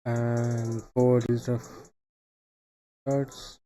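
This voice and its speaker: Slow, mellow man. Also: medium pitch, flat delivery, a little hiss or hum, rough recording. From Bangladesh. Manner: thinking aloud, halting, trailing off into quiet asides. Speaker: live streamer building software. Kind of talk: casual live coding commentary.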